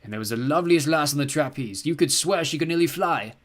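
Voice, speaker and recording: A man voicing a rambling old man on a bus who drifts into reminiscing with drawn-out talk. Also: on the high side, animated, pitched above the performer's own voice.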